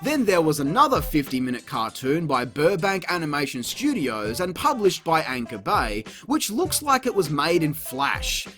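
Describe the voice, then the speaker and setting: A man's voice: fairly high pitch, very animated, normal speed, hardly any pauses, a bit bright. A man delivering scripted comedic review commentary in a sarcastic, exasperated, sweary manner. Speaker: video reviewer, host. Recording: music playing behind the voice. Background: background music.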